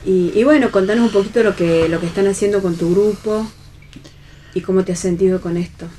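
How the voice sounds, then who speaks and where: A woman's voice: mid-range voice, fairly quick, warm tone, a little hiss or hum. A woman with an Argentine Spanish accent chatting casually as a radio interviewer. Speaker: radio host. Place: radio recording.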